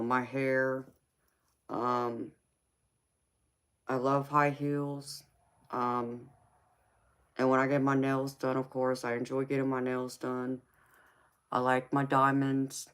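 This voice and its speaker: Woman in her forties, tarot reader, relaxed and slow-spoken, chatting back to viewer comments. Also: a bass voice. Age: 40s. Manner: easygoing, answers viewer comments by name. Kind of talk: casual live-stream chat with viewers.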